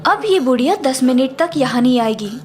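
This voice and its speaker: A woman's voice: high voice, lively intonation, moderate pace, soft background music. A woman voicing a sly, greedy housemaid who plays obedient, in a theatrical cartoon story manner. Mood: scheming. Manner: theatrical, deferential to employers, gloating when alone. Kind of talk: Hindi cartoon story voice acting.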